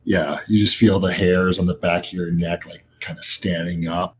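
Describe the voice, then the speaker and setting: Low-pitched male game master. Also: flat delivery, normal speed. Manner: informal, conversational. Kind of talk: casual improvised narration of a tabletop game.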